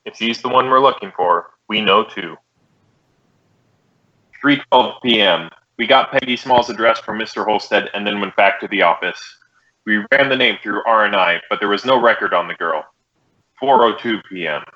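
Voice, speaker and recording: An American man playing a terse, businesslike police detective, narrating a radio crime drama in clipped, deadpan, matter-of-fact phrases. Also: lowish voice, normal speed. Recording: dull vintage radio drama recording.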